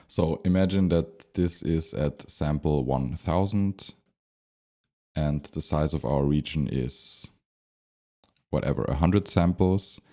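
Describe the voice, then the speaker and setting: A man with a very deep, mellow voice presents a software tutorial at a slow pace. He explains step by step without a script, hesitating now and then as he thinks out loud.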